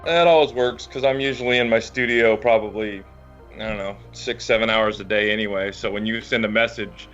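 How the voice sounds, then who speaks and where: A man's voice: on the low side, fairly even intonation, brisk, a bit bright. A man chatting casually on a group call with wry, easygoing phrasing. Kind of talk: casual chat. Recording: dull lo-fi audio, faint music. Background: faint music.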